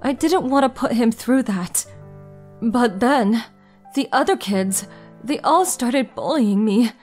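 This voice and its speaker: A woman playing a guarded, gentle, melancholy young woman in an anime dub, soft-spoken and hesitant. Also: on the high side, lively intonation, takes it slowly, faint music behind. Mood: melancholy confiding. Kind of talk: anime manga dub acting and narration.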